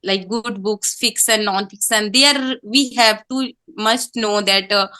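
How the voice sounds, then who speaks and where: An Indian woman whose first language is Hindi, speaking English with a Hindi accent, animated and a little halting in casual conversation practice. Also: medium pitch. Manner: animated, laughs often, simple non-native phrasing. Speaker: English teacher, guest.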